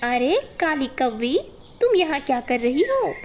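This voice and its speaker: Woman voicing a high, motherly little cartoon sparrow. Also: big pitch swings, unhurried, slight background noise. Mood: stern, protective. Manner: earnest, motherly. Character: small, tender-hearted, protective mother sparrow. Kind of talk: animated children's moral story.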